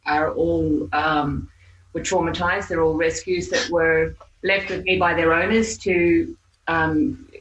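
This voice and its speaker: A woman's voice: on the low side, fairly bright tone, roomy sound, rough recording. A woman from New Zealand with a blended New Zealand and Australian accent, a chatty podcast guest telling stories from home. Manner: chatty storyteller, laughs easily, some fillers. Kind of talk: casual interview chat. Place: home with pets nearby.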